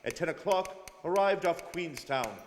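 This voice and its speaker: A man plays a dogged detective reporting in a radio drama. His slightly high voice is emphatic and clipped. There is light hall reverb and faint noise. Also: animated, unhurried. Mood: suspicious, reporting. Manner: emphatic, telegraphic. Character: stern, dogged detective narrating his log.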